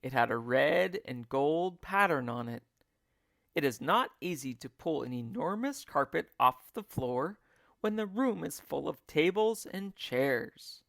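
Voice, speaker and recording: A male teacher narrating a children's book in an expressive read-along style. His delivery is unhurried with lively swings of pitch, and the sound is close and dry. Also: vocal fry, dry recording. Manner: expressive, deliberate storytelling. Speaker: teacher reading aloud.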